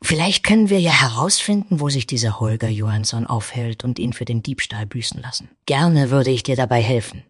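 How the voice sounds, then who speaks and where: A man's voice: mid-range voice, a little fast, hardly any pauses, husky, dry recording, clean studio sound, close to an everyday voice. A man playing a loyal, hopeful warrior woman who speaks earnestly and measuredly in a fantasy audio story. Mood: earnest, supportive.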